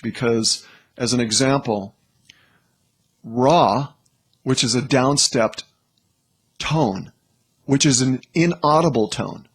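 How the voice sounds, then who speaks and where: A man gives informal commentary as a host, with a medium-pitched, husky voice. He speaks slowly in halting, broken phrases and often checks that the listener is following.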